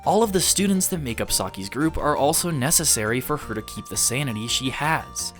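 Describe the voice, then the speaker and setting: A man with a mid-pitched voice delivers scripted video-essay narration over background music. His intonation is lively, rising and falling widely. He phrases things earnestly and deliberately, leaning on key words for emphasis. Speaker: video essayist reviewing an anime.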